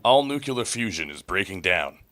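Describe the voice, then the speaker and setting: Serious officer, sci-fi radio play, male actor. Formal clipped reports, low and sharp. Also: big pitch swings, moderate pace, heavily acted. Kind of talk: live script read, sci-fi radio theatre.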